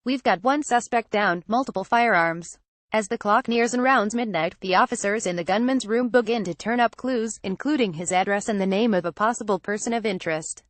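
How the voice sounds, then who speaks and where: Lady news reader, kinda synthetic, mid pitch, reads script straight, super dry sound no echo. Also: a little fast. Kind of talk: scripted news narration, reading aloud.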